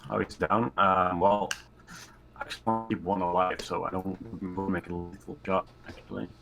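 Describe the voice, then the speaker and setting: A man's voice: low-pitched, flat delivery, normal speed, vocal fry, over music. A man chatting casually as a player in a tabletop game, hesitant and offhand.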